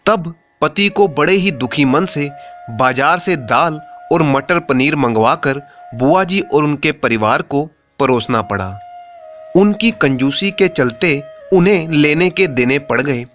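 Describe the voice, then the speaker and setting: Guy reading a funny moral tale, really unhurried, music playing behind him. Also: on the high side, lively intonation, fairly bright tone. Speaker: storyteller. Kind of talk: scripted comic moral story narration.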